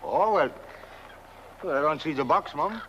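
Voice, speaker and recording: High-pitched, cheeky workman. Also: lively intonation, unhurried, pushed and bright. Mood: cheery, deferential. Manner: deferential, chatty, wheedling. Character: servile, cheerfully greedy male workman, cheeky and gullible. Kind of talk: campy horror-comedy film acting. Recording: dull old film soundtrack, faint outdoor noise.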